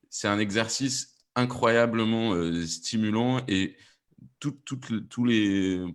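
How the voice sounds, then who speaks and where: A man's voice: lowish voice, fairly bright tone. A man talking enthusiastically as an audio producer on a discussion panel. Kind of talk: panel discussion, explanatory talk.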